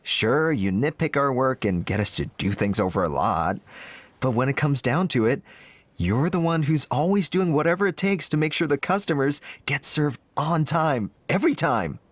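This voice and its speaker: An actor playing a supportive, slightly sheepish young restaurant worker in a video game. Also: male voice, medium pitch, expressive, moderate pace, no echo, close to an everyday voice.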